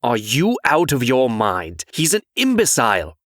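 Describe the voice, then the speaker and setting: A man's voice: medium pitch, huge pitch swings, measured pace, a bit bright, no room sound, studio quality. A man playing a jaded, worldly womanizing fairy-tale prince with a frank manner, in a comic dub. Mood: indignant.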